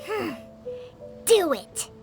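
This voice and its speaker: A tiny, childlike fairy sidekick chatters in an extremely high, cartoonish game voice. The delivery is curious and excitable yet unhurried, with slow pacing and lively intonation. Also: female voice, heavily acted. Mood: excited. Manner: speaks of self in third person. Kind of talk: cartoonish fantasy game voice acting. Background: music.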